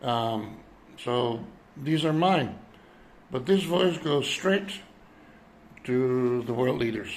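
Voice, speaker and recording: A man in his seventies from Europe speaking in an unscripted, reflective monologue, halting as he searches for words. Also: medium pitch, expressive, slight background noise. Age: 70s. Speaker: citizen vlogger addressing world leaders.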